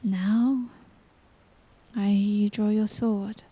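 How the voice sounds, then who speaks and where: A woman acting in an audio drama as an earnest young princess in training, speaking with hesitant wonder and deliberate phrasing. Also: medium pitch, fairly even intonation, dark tone, husky, dry recording. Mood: wondering, focused. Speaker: voice actor.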